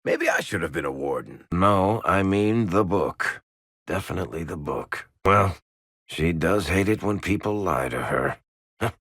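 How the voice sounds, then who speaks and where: Roguish dwarf, video game dialogue, lowish pitch, lively intonation, sardonic, casual, affable, teasing storyteller. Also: male voice, measured pace, no echo, heavily acted. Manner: sardonic, casual, dry wit.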